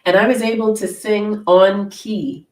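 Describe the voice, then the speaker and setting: A middle-aged woman with a naturally deep voice, a guest hypnotherapist chatting casually and laughing at herself on a video call. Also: steady tone, a little slow. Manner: self-deprecating, laughs often. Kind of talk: casual interview chat. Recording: roomy sound from far off the mic.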